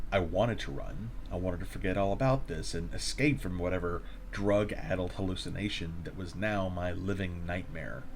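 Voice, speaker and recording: Low-voiced, shaken courier played by a man. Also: slight background noise. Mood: uneasy, haunted. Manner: confessional, dramatic storytelling. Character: shaken male delivery courier recording an eerie account. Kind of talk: first-person horror audio drama monologue.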